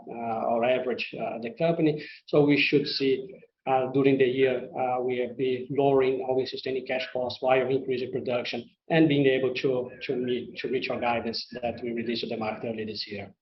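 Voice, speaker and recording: Man, non-native English, company executive, earnings presentation, hesitant phrasing, even intonation, muffled conference audio. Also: medium pitch. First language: not English. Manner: halting, hesitant delivery with repeated words. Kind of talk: semi-scripted earnings call presentation to investors. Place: conference call line in a roomy space. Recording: roomy, muffled conference-call audio with faint noise.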